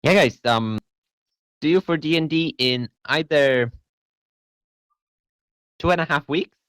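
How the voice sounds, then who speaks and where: A man's voice: a little high, takes it slowly, fairly bright tone, clean tone, no room sound. A young man whose first language is Polish, running a tabletop game on a voice call, hesitant and self-deprecating, often checking in with "right?". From Poland. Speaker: game master. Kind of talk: casual online tabletop game chat.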